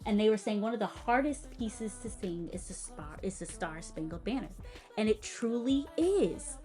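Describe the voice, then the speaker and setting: A woman's voice: mid-range voice, normal speed. A young woman music educator with a light-sounding voice, critiquing a performance in a casual, emphatic, teacherly way. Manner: animated and emphatic, stressing words and interjecting; direct, teacherly advice to the viewer.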